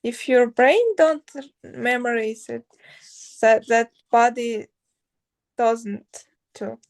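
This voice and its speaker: A woman studying English speaks slowly and hesitantly, her voice a little high. She gives short replies and builds simple sentences with care. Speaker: English student.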